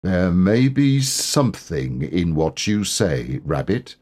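A ponderous old donkey acted by a man reading a storybook, gloomy and sorry for himself. He drags his words out slowly in a low, husky voice. Every phrase is mournful and deliberate. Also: sing-song, a little mellow, heavily acted.

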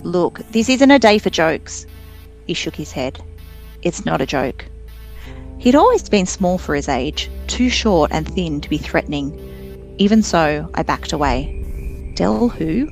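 Lowish woman reading a novel aloud. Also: sing-song, a little slow, gravelly, faint music behind. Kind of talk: expressive reading aloud from a psychological thriller novel.

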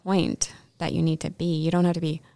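A woman with a somewhat low-pitched voice, recorded dry with no room sound. She hosts a casual conversation and has a playful, teasing way of talking with her guest. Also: little pitch movement, a little fast, creaky, mellow, no echo.

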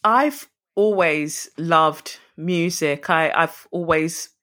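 Woman from Nigeria, podcast guest, lively and self-mocking, bright forward voice. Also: sits low, very slow. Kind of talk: casual interview storytelling. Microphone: remote call.

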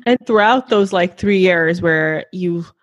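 A woman with a fairly low voice, recorded dry. She speaks as an interviewer, putting questions to a guest in a casual conversational style. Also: unhurried, no echo, muffled recording.

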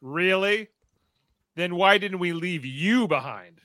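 An impatient superhero leader in a radio play, acted by a man, barks clipped orders in a high, sharp voice. His delivery is animated and urgent. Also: takes it slowly, no room sound, heavily acted. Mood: stern, urgent. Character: commanding, impatient young male superhero team leader. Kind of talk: live radio-play read of a superhero script.

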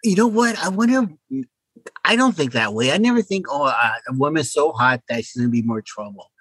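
A man's voice: fairly high pitch, expressive, a little fast, fairly bright tone, breathy edge, dry recording. A man co-hosting a podcast in casual conversation, self-assured and emphatic, often repeating his words. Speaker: podcast co-host.

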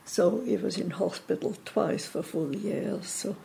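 A woman in her eighties with a Swiss accent, gently recalling memories in an interview with hesitant pauses. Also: medium pitch, creaky, a little hiss or hum, low-quality recording. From Switzerland. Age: 80s. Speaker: interview guest. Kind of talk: casual reminiscing.

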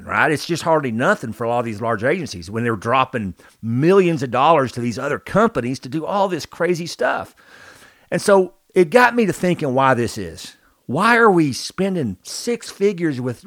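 American man, mid-pitched voice, informal opinion talk, emphatic delivery, anecdotal, dry close sound. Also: no echo. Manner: emphatic, acts out quoted exchanges. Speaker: host, law enforcement leadership trainer.

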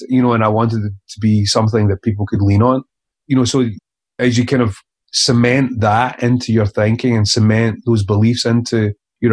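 A man, an author being interviewed, speaking earnestly and haltingly with many restarts. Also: on the low side, a little mellow. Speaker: author guest. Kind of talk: reflective interview conversation.

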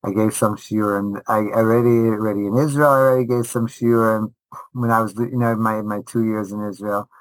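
A middle-aged man telling stories casually as an interview guest, animated, often repeating and restarting his phrases. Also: lowish voice, dry recording, rough recording. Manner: animated, halting delivery with repeated false starts. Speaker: guest rabbi and veteran Torah teacher.

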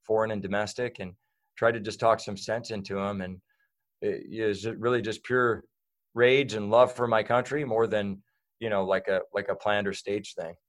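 A man with a somewhat low voice tells his story in a loose, rambling way. He fills gaps with "um" and "you know" and often restarts his sentences. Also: fairly even intonation, normal speed. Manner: rambling, many fillers and restarts. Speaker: guest. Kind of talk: casual interview talk.

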